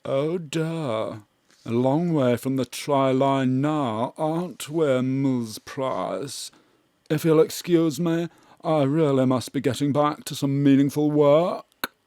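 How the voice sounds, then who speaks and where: An actor playing a pompous, condescending cat therapist with a patronising manner in a comic audio drama. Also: male voice, mid-range voice, slow, dark tone, no room sound, clean studio sound, lightly acted.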